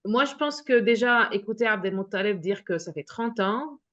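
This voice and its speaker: A woman on a webinar speaks in a halting way, stopping and restarting her sentences. Her voice sits in a middle range. The video call audio sounds dull and lo-fi. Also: medium pitch, lively intonation, fairly bright tone. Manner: halting, many restarts and fillers. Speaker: webinar panelist. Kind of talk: hesitant casual remarks. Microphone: video call microphone.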